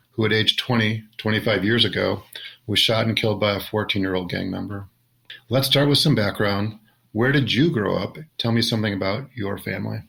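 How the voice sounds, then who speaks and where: A man hosting a podcast interview, reading prepared introductions in a steady way. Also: lowish voice, gravelly, poor audio. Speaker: podcast host.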